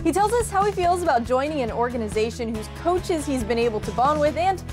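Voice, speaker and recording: A woman's voice: high voice, expressive, brisk, over music. A young woman anchoring a local TV sports show, reading scripted narration in a studio. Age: young adult.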